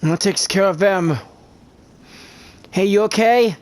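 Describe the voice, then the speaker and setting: A woman voicing a calm, wry young traveler in a video game, with understated, dry delivery. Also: medium pitch, takes it slowly, lightly acted. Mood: casual, dry. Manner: understated, dry, brief replies. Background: faint game music.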